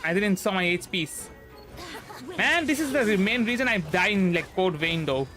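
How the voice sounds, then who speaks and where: A man's voice: sits high, animated, moderate pace, bright, forceful tone. A young man from India with a Bengali accent, a native Bengali speaker, giving casual, hesitant and rambling gameplay commentary as a livestreamer. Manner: hesitant, rambling, repeats himself. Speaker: livestreamer, VTuber. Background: game music.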